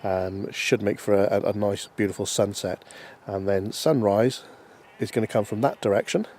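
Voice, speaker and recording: A man's voice: fairly low pitch, fairly even intonation, moderate pace, darkish tone, a little hiss or hum. A man vlogging in a casual, chatty way, with many ums and restarts, congested from hay fever, talking from outdoors in a mountain valley. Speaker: landscape photographer.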